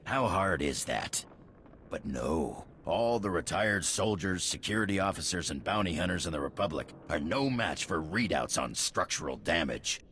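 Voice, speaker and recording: An actor playing a gruff, scornful mercenary boss in a video game, sneering and blunt. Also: male voice, sits low, expressive, moderate pace, fairly bright tone, slight background noise. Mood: impatient, goading. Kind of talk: video game character acting.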